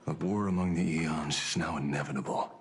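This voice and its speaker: A man's voice: rumbling low, steady tone, brisk, faint music behind. An actor playing a world-weary, mercenary older male detective in a video game, with a dry sardonic manner. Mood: grave.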